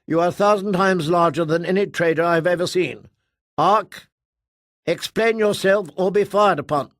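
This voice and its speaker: A man's voice: fairly high pitch, big pitch swings, moderate pace, gravelly, no room sound, studio quality, pitched above the performer's own voice, heavily acted. A man voicing a brash young female starship commander who issues urgent, threatening commands.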